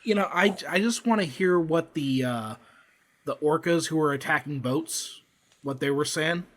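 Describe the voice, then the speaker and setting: A man's voice: fairly high pitch, moderate pace, a bit bright. A man co-hosting a casual podcast, stammering a little before landing his jokes. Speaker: podcast co-host. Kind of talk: casual chat.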